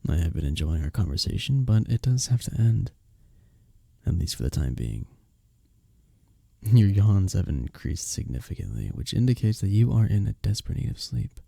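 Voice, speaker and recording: Man playing a soft dark-voiced, courtly young innkeeper. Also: on the low side, big pitch swings, moderate pace, creaky, no room sound, studio quality, full character voice. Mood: earnest, admiring. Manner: formal, courtly phrasing with bashful hesitations. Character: courteous, smitten young male village innkeeper, tender and earnest. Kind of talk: intimate romantic ASMR fantasy roleplay.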